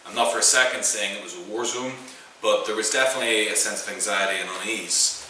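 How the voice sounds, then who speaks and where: A man from Belfast with a Northern Irish accent, speaking in a measured, thoughtful, slightly hesitant way. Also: lowish voice, pushed and bright, slight background noise. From Belfast, Northern Ireland. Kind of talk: thoughtful documentary interview. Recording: very echoey room, distant mic.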